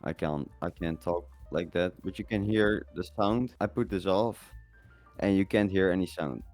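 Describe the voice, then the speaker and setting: A young adult man hosting a casual tutorial in an informal, halting way, often repeating words. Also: low voice, darkish tone, faint music behind. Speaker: tutorial video host. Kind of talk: casual screen-recording tutorial.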